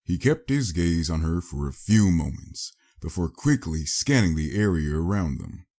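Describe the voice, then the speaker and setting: Deep, husky male story narrator. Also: big pitch swings, moderate pace, dark tone. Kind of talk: dramatic scripted story narration.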